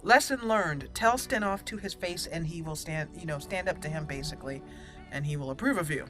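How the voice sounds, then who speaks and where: A woman hosting a roleplay gameplay video, chatty and friendly, laughing easily as she talks her viewers through the game. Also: low-pitched. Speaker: gaming channel host. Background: game music.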